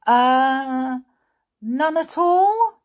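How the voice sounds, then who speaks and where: A woman puts on a little schoolgirl with a high, timid voice. She is hesitant and unsure, picking her words carefully, as in a children's story. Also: expressive, drawn-out words, exaggerated voice. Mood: nervous, unsure. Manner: hesitant, uncertain.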